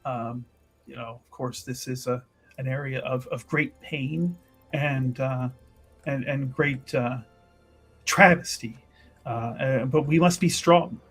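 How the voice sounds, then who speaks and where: Man playing a solemn, husky chantry sister. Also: mid-range voice, a bit bright, faint music behind. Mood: solemn, encouraging. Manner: grave, halting, formal. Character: solemn, devout chantry sister leading others in prayer. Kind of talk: in-character tabletop roleplay.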